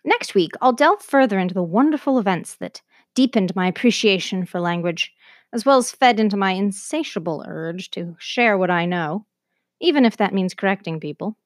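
A woman's voice: mid-range voice, animated, moderate pace, no room sound, clean studio sound. A woman with a Canadian accent hosting an educational podcast, narrating a script in an enthusiastic, conversational way. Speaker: host of an educational language podcast.

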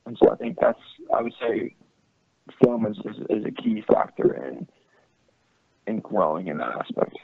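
A man's voice: fairly low pitch, huge pitch swings, moderate pace, vocal fry, long pauses, husky, no room sound, narrow, tinny audio. A young man from France and California who plays professional soccer as a goalkeeper, answering interview questions in a thoughtful, easygoing, casual way. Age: young adult.

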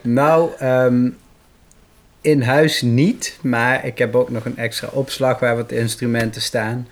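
Man chatting at medium pitch. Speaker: musician, interviewee. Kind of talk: casual interview chat.

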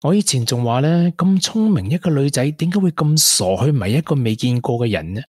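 An actor playing a supportive, sensible young boyfriend in a Hong Kong Cantonese radio drama. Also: male voice, mid-range voice, animated, fairly quick, a little mellow, breathy edge, dry recording, clean studio sound. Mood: understanding.

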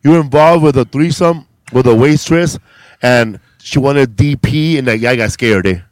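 A male comedian hosting a casual road podcast, teasing his guests in a drawn-out, laid-back way and saying "man" and "bro" a lot. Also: mid-range voice, no echo.

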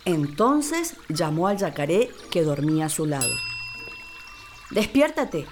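A woman narrating a scripted children's story in an expressive, theatrical way. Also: deep voice, normal speed, over music.

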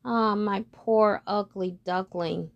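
A woman's voice: mid-range voice, fairly even intonation, slow pace, creaky, muffled recording. A woman playing a tender, worried mother duck. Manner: tender, consoling.

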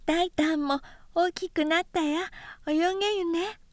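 Storybook toddler boy, played by a woman: high pitch, lisped syllables, earnest and stubborn. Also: steady tone, slow, no room sound, clean studio sound. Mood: excited, proud. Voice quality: babyish lisping, mangled syllables.

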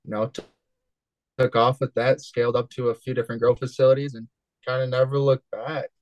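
A man in his twenties from West Michigan, a guest on an interview show telling his story in a relaxed, rambling way with many fillers. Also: medium pitch, a little fast, no room sound. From West Michigan, USA. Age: 20s. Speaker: guest, cannabis entrepreneur.

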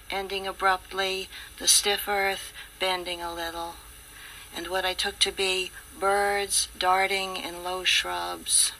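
A woman reciting a poem in a slow, measured way. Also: mid-range voice, sharp tone, slight background noise, rough recording. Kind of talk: poetry reading.

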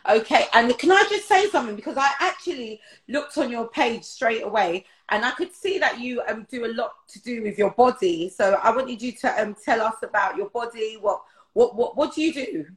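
Woman hosting a video call interview, gushy and repetitive, kinda high voice, roomy echo. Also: normal speed. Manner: effusive, repeats words, lots of praise. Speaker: interview host. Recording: echoey room.

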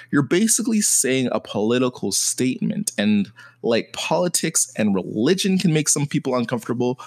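A young adult man speaking in a thoughtful, conversational monologue, often pausing with "you know". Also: medium pitch, dry recording. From Jamaican heritage, grew up partly in America. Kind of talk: personal reflective monologue.

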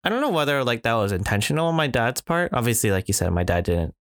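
A man's voice: medium pitch, big pitch swings, fast, no room sound, studio quality. A man in casual podcast chat, reflective, with many fillers and restarts. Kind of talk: casual conversation.

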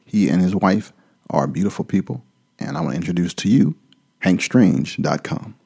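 A man hosting a casual interview show, friendly and quick to jump in with questions. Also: sits low, gravelly, breathy edge, no room sound, clean studio sound. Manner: friendly, animated, jumps in with questions. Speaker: podcast host.

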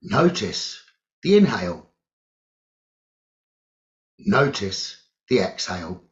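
A man leading a guided meditation as a teacher, giving calm, deliberate instructions in short phrases with long pauses. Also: fairly low pitch. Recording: dull, lo-fi sound.

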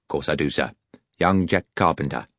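A man's voice: a bass voice, moderate pace, a bit bright, no room sound, clean studio sound. A man voicing a respectful, matter-of-fact railway station worker with plain, clipped phrasing. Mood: respectful, certain.

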